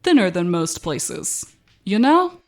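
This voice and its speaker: A woman voicing an eerie, polite male stranger with a slow drawl and faint menace, in an audio drama. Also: fairly low pitch, big pitch swings, faint music behind, full character voice. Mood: unsettling calm.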